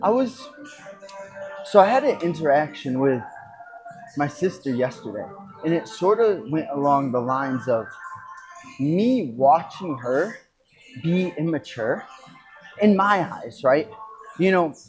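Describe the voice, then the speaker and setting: A man hosting a podcast solo, talking reflectively and unscripted, thinking aloud in a halting way and correcting himself. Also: on the high side, big pitch swings, darkish tone, faint music behind, rough recording. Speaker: podcast host.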